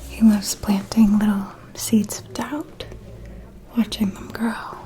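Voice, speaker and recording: A woman playing a refined, shrewd vampire noble who gossips in an elegant, deliberate manner in a whispered ASMR roleplay. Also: mid-range voice, flat delivery, lightly acted. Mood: cautioning, sly. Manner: slow, deliberate, elegant, hushed insinuation.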